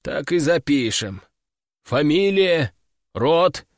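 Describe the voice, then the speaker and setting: A man's voice: fairly high pitch, very animated, takes it slowly, no room sound, clean studio sound, exaggerated voice. A man reading the part of a suspicious imperial inspector who asks short, curt questions. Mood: probing. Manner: curt, interrogating.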